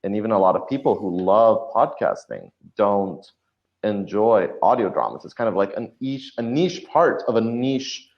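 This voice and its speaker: A man's voice: on the low side, expressive, normal speed. A man giving thoughtful, explanatory advice as a guest in a conversational podcast interview. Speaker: podcast guest, audio drama writer. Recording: dull lo-fi audio.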